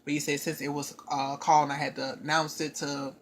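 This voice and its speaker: Chatty woman with a lowish voice. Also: a little fast, slight background noise. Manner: rambling, animated retelling with asides. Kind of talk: casual storytelling chat.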